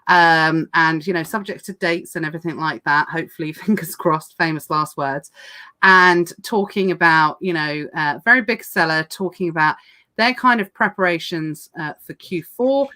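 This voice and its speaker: Chatty hoarse woman hosting a livestream. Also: sits low, normal speed, pushed and bright. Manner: chatty, lots of fillers and restarts. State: hoarse, losing her voice. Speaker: podcast host. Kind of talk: casual livestream chat.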